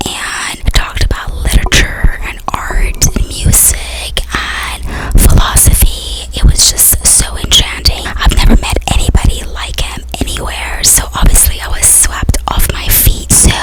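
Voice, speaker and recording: A woman playing a centuries-old vampire queen in an ASMR roleplay, telling stories in an intimate, casual, chatty way with a soft breathy whisper. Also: a little slow, hardly any pauses, lightly acted, rough recording. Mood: wistful, enchanted. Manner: intimate, casual modern chatter, repeats words for emphasis. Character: centuries-old female vampire queen, doting, bossy, girlish storyteller. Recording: music behind the whispering.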